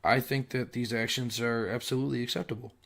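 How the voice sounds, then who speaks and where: A young man with a voice worn down by illness delivers solo commentary as a show host. He keeps clearing his throat and hesitates with fillers, circling back to restart his sentences at a middling pitch. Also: fairly even intonation, a bit bright. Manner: halting, restarts mid-sentence. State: sick, losing his voice. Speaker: podcast host.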